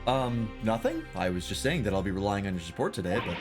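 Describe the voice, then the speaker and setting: An earnest young lawyer speaks in a low, plain voice, resolved and steady, as a man reads him from a game's script. The lines rise and fall with lively energy over faint music. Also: fast. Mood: determined.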